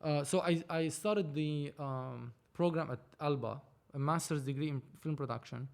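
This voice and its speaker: A man, speaking in a voice that sits a little higher than most men's. He tells his story as a podcast guest in loose, animated chat, often restarting his sentences as he goes. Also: normal speed, creaky. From Lebanon. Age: 30s. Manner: animated, rambling, frequent restarts. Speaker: film producer, podcast guest. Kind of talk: casual conversational storytelling.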